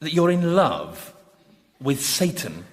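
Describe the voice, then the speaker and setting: Radio comedy role: weary, sarcastic tormented sinner, highish animated voice, long reverb over noisy background. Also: male voice, slow. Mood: incredulous. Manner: dry, sarcastic, incredulous. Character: sardonic damned man, weary victim of demon torturer. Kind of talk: radio sitcom acting. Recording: long hall reverb, clear background noise.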